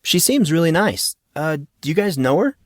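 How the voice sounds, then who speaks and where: A man plays an earnest teenage boy in an audiobook. He is self-conscious and hesitant, with awkward halting speech, recorded dry. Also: on the high side, sing-song, dry recording, pitched above the performer's own voice. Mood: modest, puzzled. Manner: hesitant, stammering.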